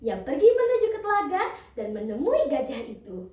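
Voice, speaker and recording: A young female telling a children's fable theatrically, with dramatic drawn-out emphasis. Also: sits high, big pitch swings, darkish tone. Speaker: storytelling contestant. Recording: echoey room, distant microphone.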